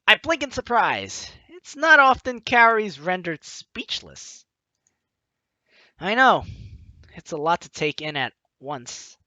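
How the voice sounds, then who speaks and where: A man's voice: sits high, very animated, takes it slowly, bright, forceful tone, dry recording, lo-fi sound. A man hosting a let's play, expressively reading a story game's text aloud and reacting with enthusiasm. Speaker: gaming video host.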